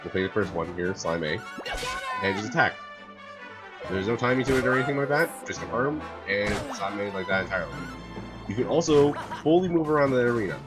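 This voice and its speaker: A man with a hoarse voice from a cold, casually commenting on a video game and rambling with lots of fillers. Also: on the low side, lively intonation, fairly quick. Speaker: gameplay streamer. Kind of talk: casual let's-play commentary. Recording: gameplay with loud game music.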